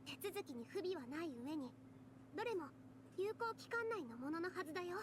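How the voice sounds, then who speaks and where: A woman's voice: very high voice, sing-song, very fast, background noise. An actress playing an earnest, determined young woman in an anime game, arguing in Japanese in a reasoned way. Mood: earnest, firm. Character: earnest, determined young female theater performer.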